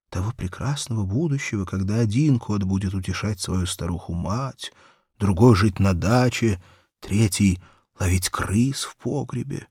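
A man's voice: mid-range voice, a little mellow, husky, no room sound, clean studio sound. A man reading a children's story aloud as an audiobook narrator, in a dramatic, theatrical storytelling manner.

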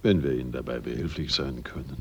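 Very deep, gravelly police inspector. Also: male voice, quick pace, dull audio, heavily acted. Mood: reluctant, skeptical. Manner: terse, official, questioning. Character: stern, skeptical male police inspector. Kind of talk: German radio drama acting.

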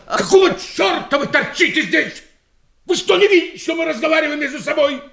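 A man's voice: extremely high pitch, animated, brisk, gravelly, fairly bright tone, full character voice. A man playing a pompous, indignant family man who blusters, in an audio play with a roomy, echoing sound. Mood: furious.

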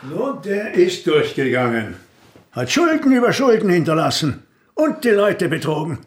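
Animated, scornful shopkeeper father. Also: high-pitched, moderate pace. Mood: dismissive. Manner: curt, emphatic, lecturing. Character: blunt, hard-nosed shopkeeper father, scornful and practical. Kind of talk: radio drama acting.

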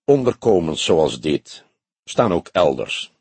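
A man's voice: low-pitched, big pitch swings, slow pace, darkish tone, breathy edge, no room sound, pitched below the performer's own voice, full character voice. A man voicing a stout, wealthy crime suspect in his late forties in an audiobook, polite and self-assured. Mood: self-assured, defensive. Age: 40s.

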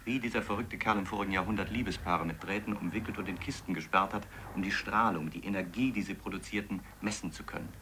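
A man acting an eccentric, obsessed scientist who thinks aloud in a reflective, halting, explanatory way in a radio drama. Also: low voice, bright, forceful tone, a little hiss or hum. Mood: quiet, determined. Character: obsessed eccentric male scientist, musing, earnest, self-doubting. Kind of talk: radio drama monologue in letter form.

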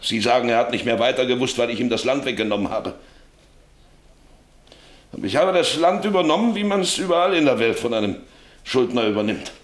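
An actor playing a middle-aged farmer and father, troubled and defensive, with a halting, brooding delivery in a German radio play. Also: medium pitch, expressive, natural voice. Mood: defensive, firm. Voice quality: gravelly, husky.

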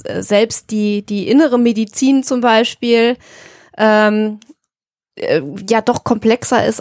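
Podcast co-host: woman, mid-range creaky voice, conversational, thinking as she speaks. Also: mid-range voice, no room sound, studio quality.

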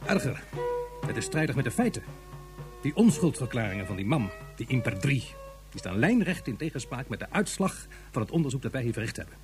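Man as an animated, indignant scientist. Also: medium pitch, fairly quick, soft background music, lightly acted. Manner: emphatic, precise. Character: indignant, insistent male scientist. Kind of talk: science fiction radio play acting.

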